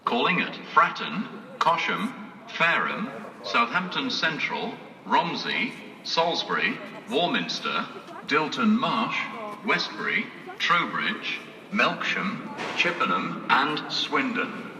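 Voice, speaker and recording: A British man reading scripted train announcements formally, in separate phrases, over railway station loudspeakers. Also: medium pitch, big pitch swings, takes it slowly, a crowd in the background. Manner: formal, segmented list reading with varied intonation. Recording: reverberant distant station tannoy, noisy.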